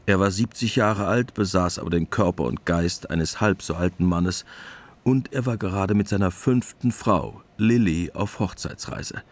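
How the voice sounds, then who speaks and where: A man's voice: deep voice, moderate pace. A man narrating a literary radio drama in a matter-of-fact storytelling manner.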